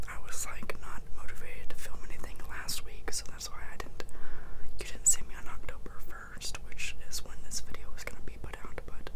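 A man's voice: fairly quick, rough recording. A young man speaks entirely in a whisper, up close to the microphone. He rambles casually in an ASMR style, like a host chatting to viewers from a small room. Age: 20s. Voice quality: whispered, unvoiced. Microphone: close mic, face near the camera.

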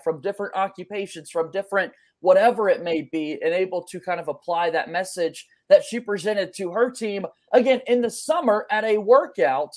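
A man's voice: sits high, a little mellow. Young man hosting a sports podcast. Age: young adult. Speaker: podcast host. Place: small room at home, on a video call.

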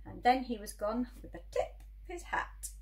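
A woman with a high voice reads a children's story aloud like a teacher. Her delivery is very lively and expressive, leaning on the rhymes. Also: normal speed, a little hiss or hum. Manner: expressive, emphatic on rhyming words. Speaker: teacher reading to pupils. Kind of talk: animated children's storybook reading.

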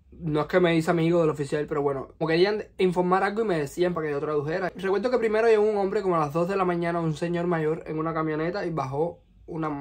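A young man in his twenties from Cuba, speaking with a Cuban Spanish accent, telling a personal story to the camera in his bedroom with lively, expressive delivery. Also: a little high. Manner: animated, expressive, gesturing with hands. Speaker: vlogger. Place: small bedroom, indoors. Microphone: camera in front at close range.

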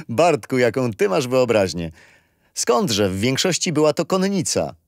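Grandfather, patient and kindly storyteller for a children's history play, animated intonation. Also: mid-range voice, measured pace, dry recording, studio quality. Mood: kindly, explaining. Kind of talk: children's radio play acting.

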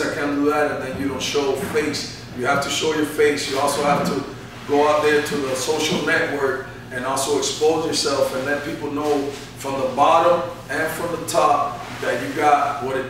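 A man's voice: on the high side, little pitch movement, moderate pace, background noise. A man in his thirties, a barbershop owner, giving earnest motivational answers in a sit-down interview, peppered with "you know". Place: barbershop, indoors. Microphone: camera mic from across the room. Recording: echoey room, mic far off.

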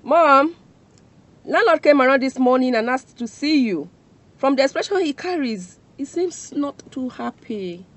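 An actress with a Nigerian accent playing a polite young daughter in a radio drama. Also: female voice, high-pitched, expressive, a little slow, faint music behind. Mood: worried. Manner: polite, soothing.

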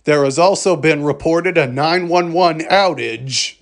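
A man reading a scripted emergency alert broadcast in a formal, measured manner. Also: fairly high pitch, vocal fry.

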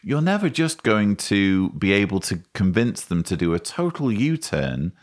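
A man in his forties giving measured, wry commentary as a host, close on a studio microphone. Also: fairly low pitch, very animated. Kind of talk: commentary, rebuttal to camera. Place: indoor study lined with bookshelves. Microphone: studio microphone on boom arm, close.

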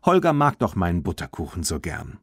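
A man's voice: low voice, huge pitch swings, fairly quick, gravelly, slightly rough, dry recording, studio quality, exaggerated voice. A man voicing a kindly, decisive, optimistic mother, firm but friendly, in a children's audiobook. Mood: cheerful, decided.

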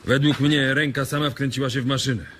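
A man playing a calm, commanding, coolly threatening ranch boss figure in a Polish radio drama. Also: medium pitch, fast talker, slight background noise, lightly acted. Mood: cool, firm.

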